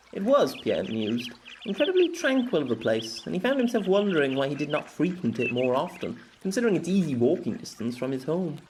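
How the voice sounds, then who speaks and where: A male amateur narrator reads prose fiction for an audiobook. His voice sits at a medium pitch, with lively, varied intonation through the scripted storytelling.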